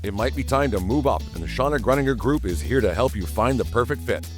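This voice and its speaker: A man's voice: medium pitch, expressive, fast, faint music behind. A man presenting as the host of a sports show.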